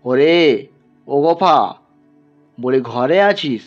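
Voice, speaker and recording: Man putting on a loud, scolding Brahmin priest. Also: medium pitch, animated, very slow, dull audio, soft background music. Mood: shouting, furious. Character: angry, scornful Brahmin priest bullying a poor villager.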